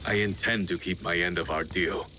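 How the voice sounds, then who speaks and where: An actor playing a stern, loyal renegade ronin warrior, speaking formally and earnestly in a video game. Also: male voice, low-pitched, steady tone, normal speed, bright, forceful tone, soft background music, poor audio. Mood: grave, resolute. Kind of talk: scripted video game cutscene acting.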